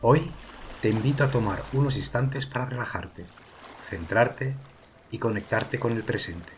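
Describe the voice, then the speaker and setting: A man narrating a guided meditation as its guide, speaking calmly and soothingly in slow phrases with pauses. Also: mid-range voice, slight background noise. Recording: ocean waves behind the voice. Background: sea waves, water ambience.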